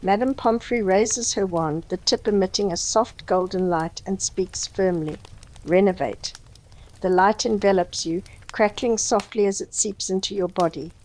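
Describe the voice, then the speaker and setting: A woman's voice: medium pitch, normal speed, hardly any pauses, darkish tone, a little hiss or hum, low-quality recording. A woman reading a scripted story as its narrator.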